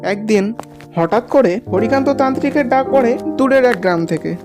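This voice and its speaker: A woman's voice: on the high side, lively intonation, moderate pace, over music. A woman narrating a scripted cartoon story as its storyteller.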